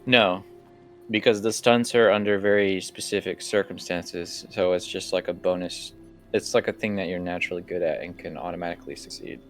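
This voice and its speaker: A man acting as game master, casually explaining and setting scenes for a tabletop game in a halting, conversational way. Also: low voice, fairly even intonation, faint music behind.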